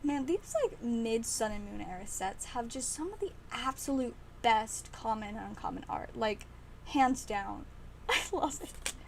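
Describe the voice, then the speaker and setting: A woman hosting a card-opening livestream, chatting casually and excitedly, her voice slightly raspy and strained. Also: on the high side, a little slow, a little hiss or hum. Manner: chatty and excitable, with frequent laughs. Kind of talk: casual livestream chat while opening packs.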